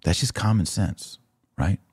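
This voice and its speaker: A man's voice: deep voice, a little slow, darkish tone, slightly rough, no room sound. A man hosting a religious commentary podcast, speaking in an emphatic, instructive yet conversational way. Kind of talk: live religious commentary, answering listener questions.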